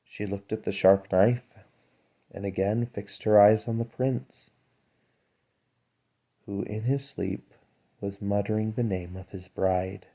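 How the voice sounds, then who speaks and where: A man reading a fairy tale aloud as a storyteller, in a measured, dramatic way. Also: on the low side, fairly even intonation, mellow, muffled recording.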